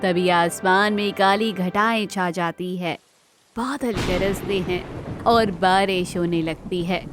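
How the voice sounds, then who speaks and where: A woman's voice: lowish voice, steady tone, slow, over music. A woman narrating a scripted children's moral story as the storyteller.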